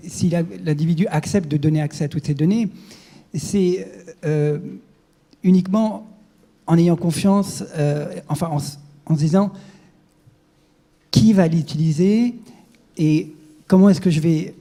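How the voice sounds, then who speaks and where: A man's voice: fairly high pitch, measured pace, long pauses, dark tone. A man asking a long-winded question from the floor at a conference, hesitant and full of fillers. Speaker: audience questioner. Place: conference amphitheater.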